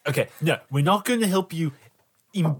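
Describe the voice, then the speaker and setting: A man's voice: a little high, animated, normal speed, husky. A man playing in an improvised role-play game, scolding in character in an indignant, stern way.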